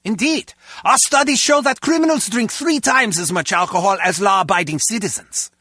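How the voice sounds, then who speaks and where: High-voiced, pedantic German henchman. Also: normal speed, sharp tone, dry recording, studio quality. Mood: earnest lecturing. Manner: earnest, pedantic, lecturing. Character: philosophical German henchman guard, earnest and excitable. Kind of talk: video game character dialogue.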